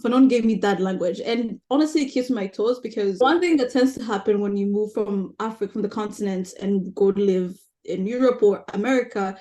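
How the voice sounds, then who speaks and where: A woman's voice: medium pitch, brisk, few pauses, mellow. Earnest young woman from Rwanda. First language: Kinyarwanda. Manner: earnest, thinks aloud with restarts. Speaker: guest. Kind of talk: casual conversation.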